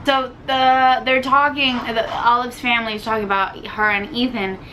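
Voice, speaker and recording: A woman's voice: on the high side, steady tone, normal speed, sharp tone, a little hiss or hum, low-quality recording. A young woman whose first language is Spanish, chatting casually and ranting animatedly about a book, with lots of fillers. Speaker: book vlogger. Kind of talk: casual book review chat.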